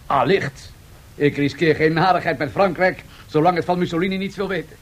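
An actor playing a confident, shrewd match tycoon in a radio drama, speaking politely and in a businesslike way. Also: male voice, fairly high pitch, brisk, very noisy, natural voice. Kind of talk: 1970s radio drama acting.

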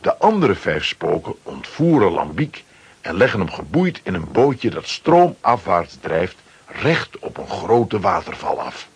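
A man's voice: sits low, very animated, unhurried, breathy edge. A man narrating a children's adventure story from a script, with dramatic delivery.

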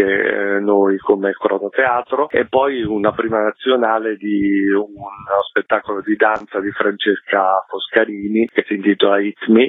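A man answering radio interview questions as a festival director, explaining things in a measured way. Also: on the low side, no echo, narrow, tinny audio.

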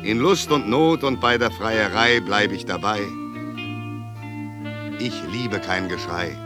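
An actor playing a cabaret performer who recites satirical verse theatrically in a radio drama. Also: male voice, on the low side, takes it slowly. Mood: wry, theatrical. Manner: theatrical, declamatory. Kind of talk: literary cabaret recitation over music, German radio drama. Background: plucked guitar music.

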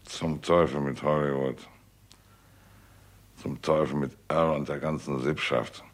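Gravelly, very deep, sardonic invalid. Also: male voice, steady tone, measured pace, exaggerated voice. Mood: tired, grumbling. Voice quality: gravelly, husky. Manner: sardonic, teasing. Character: weary, sardonic convalescent screenwriter, grumbling and cursing. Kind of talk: 1960s German radio drama acting.

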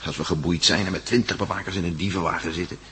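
Steady reassuring prisoner, really deep firm voice, old radio drama, slight hum behind. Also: male voice, fast. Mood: calm, scheming. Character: steady, encouraging male prisoner, calm under pressure.

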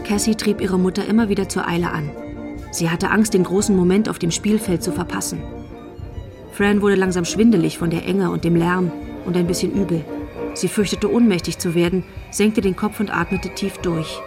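A female narrator of a crime radio play, voiced by an actress. She tells the story in a scripted style with a fairly low voice. Music is clearly audible behind her. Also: steady tone, normal speed, natural voice. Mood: measured.

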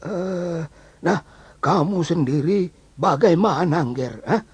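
A man playing a kind old grandfather sage in an audio fairy tale, murmuring and questioning, with a husky aged voice. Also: on the high side, takes it slowly, no echo, exaggerated voice. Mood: curious, gentle. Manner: murmuring hums and questioning interjections.

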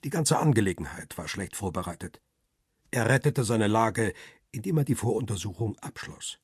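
Husky, low-voiced jailed crime-drama narrator. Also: male voice, lively intonation, normal speed, no echo, studio quality. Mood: tense, observant. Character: jailed male paparazzo narrating his ordeal, wary, uneasy. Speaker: narrator and lead actor. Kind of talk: radio crime drama, first-person narration.

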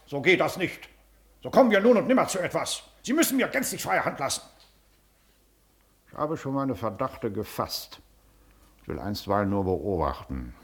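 An actor playing a self-important, condescending Prussian district official in a classic radio play, pompous and declamatory. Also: male voice, medium pitch, big pitch swings, normal speed, pauses often.

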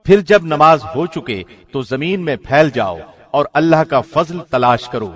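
A man reading a scripture translation like a radio presenter, formal, solemn and measured. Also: fairly high pitch, lively intonation, fairly bright tone.

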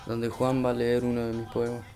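A man with an Argentine accent chats casually on a radio panel. His mid-pitched voice has very little melody, and music is clearly audible behind it. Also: completely flat delivery, fairly quick, warm tone.

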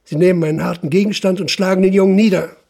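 An actor playing a stern police investigator in a radio drama, laying out accusations insistently and deliberately in a gravelly voice. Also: male voice, sits high, fairly even intonation, darkish tone. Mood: insistent, accusing. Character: stern, relentless police investigator interrogating a suspect. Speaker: narrating police official. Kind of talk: German radio drama, interrogation.

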